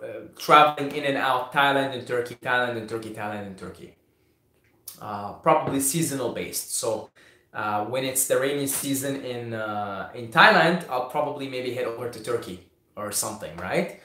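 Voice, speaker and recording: A man's voice: medium pitch, normal speed, pushed and bright. Syrian-born man answering questions in an echoey room. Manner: repeats words, many fillers and restarts. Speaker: host answering viewer questions. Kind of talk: casual live Q&A.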